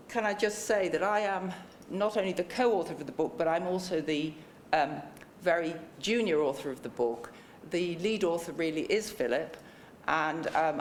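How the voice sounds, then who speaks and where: Low-voiced woman in her sixties. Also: a little hiss or hum. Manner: measured, polite, frequent ums. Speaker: panel chair and book co-author. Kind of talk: welcoming remarks at a book launch. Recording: echoey lecture room.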